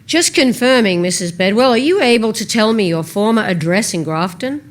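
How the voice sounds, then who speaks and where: A woman's voice: medium pitch, expressive, brisk, lightly acted. A woman voicing a polite, official male process server in a storybook reading, formal and businesslike. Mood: brisk, formal.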